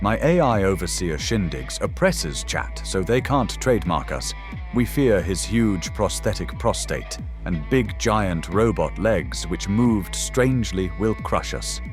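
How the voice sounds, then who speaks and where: A man with a low, deep voice narrates a story as a dramatic reading. Faint music plays beneath his narration. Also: expressive, a little slow. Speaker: guest narrator.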